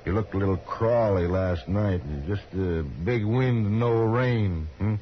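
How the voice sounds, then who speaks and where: An actor playing a cool, menacing gunfighter in a 1950s radio western, speaking in a folksy, unhurried rural American West accent. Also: male voice, on the low side, lightly acted. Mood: coolly amused. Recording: muffled, noisy old radio recording.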